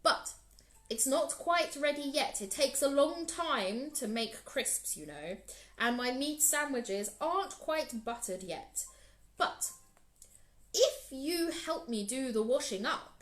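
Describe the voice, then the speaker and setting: A woman reading a storybook and voicing a generous male lion with a grand, drawn-out way of speaking. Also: fairly high pitch, pitched above the performer's own voice. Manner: grand, drawn-out, warmly proud.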